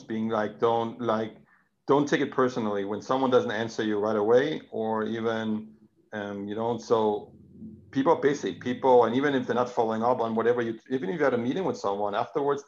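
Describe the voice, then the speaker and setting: A man's voice: medium pitch, fairly even intonation, a little fast. A man from Denmark, a native Danish speaker, hosts a casual interview, hesitating often and summing up his guest's points. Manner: hesitant, many fillers and restarts, summarizes guest's points. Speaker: interview host. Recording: dull, muffled sound.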